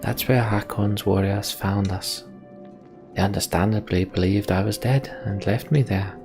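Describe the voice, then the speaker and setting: A man voicing a calm, grave female sorcerer in a fantasy game, telling the story in a measured, deliberate way. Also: on the low side. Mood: solemn, explanatory. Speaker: let's play host reading game dialogue aloud. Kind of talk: voiced reading of fantasy RPG dialogue. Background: game music.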